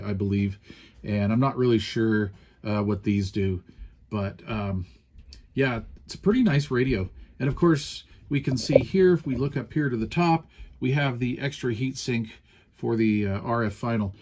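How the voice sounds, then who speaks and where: A man's voice: lowish voice, darkish tone. A man giving a casual, unscripted hands-on product review in a folksy, plain-spoken way with colloquial turns.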